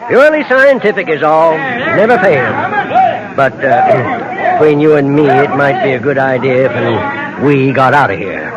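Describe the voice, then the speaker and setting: A man acting a cheerful, sly, unflappable frontier rainmaker with a folksy, unhurried rural western American drawl in an old radio western. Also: fairly high pitch, sing-song, over a noisy crowd. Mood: easygoing, sly. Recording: muffled, noisy old radio recording.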